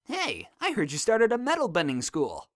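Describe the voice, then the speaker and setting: A woman voicing a casual, expressive teenager in an animated-style comic dub. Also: mid-range voice, normal speed, dry recording, studio quality. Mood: friendly.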